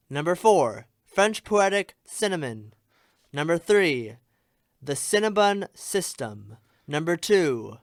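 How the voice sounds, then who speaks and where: A man's voice: high voice, a bit bright, no echo. A young man hosting a scripted comedy countdown in a radio studio. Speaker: host.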